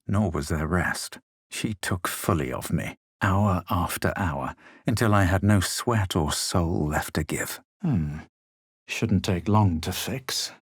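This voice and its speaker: An actor playing a haughty, coolly superior fantasy adventurer in a video game, with a husky voice and deliberate delivery. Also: male voice, on the low side, dry recording, studio quality, exaggerated voice. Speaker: video game player character. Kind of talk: scripted game voice lines, narration and remarks.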